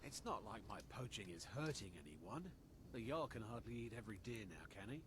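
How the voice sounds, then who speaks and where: An actor playing an unrepentant woodland poacher in a fantasy game, casual and self-justifying. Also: male voice, fairly low pitch, expressive, brisk, close to an everyday voice. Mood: defensive, casual. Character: unrepentant male poacher, woodland hunter and trader. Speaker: game character. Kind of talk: scripted fantasy game dialogue. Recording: distant, very noisy game audio.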